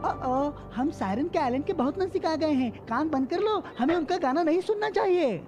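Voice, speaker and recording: A woman's voice: sits high, lively intonation, normal speed, darkish tone. A woman playing an earnest, cautious young male adventurer in a Hindi cartoon dub, speaking with urgency. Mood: urgent warning. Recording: cartoon music behind the voice.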